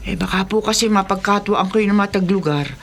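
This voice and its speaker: A woman's voice: medium pitch, brisk, a little hiss or hum, close to an everyday voice. An actress playing a superstitious, respectful household woman who gives cautionary warnings about spirits in a radio drama. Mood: cautionary, earnest. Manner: deferential, cautionary.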